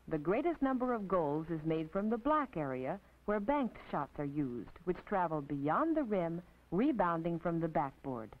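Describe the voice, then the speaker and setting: An American woman narrating an instructional film as a teacher, in a precise, didactic, scripted manner with measured phrasing. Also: lowish voice. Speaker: narrator and physical education instructor. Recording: tinny, band-limited 1950s film soundtrack.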